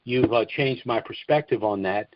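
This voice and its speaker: A man's voice: mid-range voice, normal speed, slight background noise. A man hosting a casual call-in chat, talking in a folksy, agreeable way over a conference phone line. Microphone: telephone conference line.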